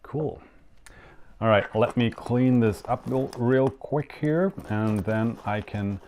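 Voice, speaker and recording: A man's voice: mid-range voice, slow pace, a little mellow. A young man whose first language is German hosts a live show in casual, hesitant talk with many fillers and restarts. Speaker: show host. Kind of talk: casual live-stream hosting.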